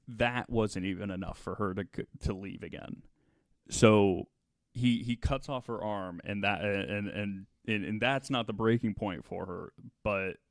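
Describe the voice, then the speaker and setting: A man hosting a podcast, recounting a true-crime story to co-hosts in a halting, measured way. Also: on the low side, a bit bright, no room sound, clean studio sound. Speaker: podcast host.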